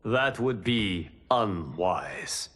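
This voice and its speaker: Calm, slow-spoken guardian god. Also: male voice, sits low, exaggerated voice. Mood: grave counsel. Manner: measured, formal, grave. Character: calm, wise male guardian god of time. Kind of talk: video game character acting.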